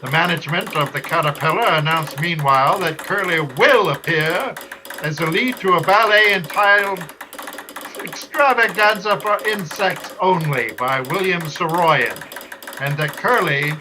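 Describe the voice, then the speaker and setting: A woman's voice: on the low side, a little slow, close to an everyday voice. A woman playing a formal wire-service reporter reading a declamatory old time radio news bulletin. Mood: formal, urgent. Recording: clattering typewriter noise over voice. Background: typewriter clicks.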